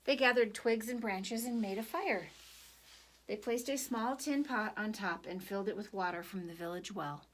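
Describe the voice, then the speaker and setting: A woman narrating a picture book for children at storytime, deliberate and expressive, pausing between phrases. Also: medium pitch, slight background noise, poor audio.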